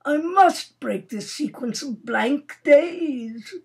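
A woman's voice: high-pitched, lively intonation, takes it slowly, vocal fry, pitched above the performer's own voice, exaggerated voice. A woman voicing a morose, moody Major, grumbling, in a storybook reading. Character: morose, moody male Major, keen fox hunter.

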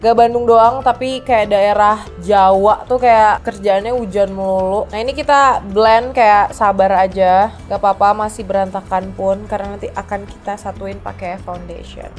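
A woman's voice: mid-range voice, fairly even intonation, normal speed, soft background music. A young woman with a stuffy, blocked nose from a heavy cold, chatting casually through a makeup tutorial at home, with offhand self-deprecating asides. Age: young adult. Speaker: beauty vlogger. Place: small indoor room at home, rain outside.

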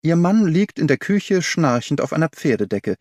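Guy reading as a worried young governess in a storybook, eager and emphatic, pitch moves around. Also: very animated, normal speed, a little mellow, no room sound. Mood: earnest. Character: earnest, anxious young governess, female client.

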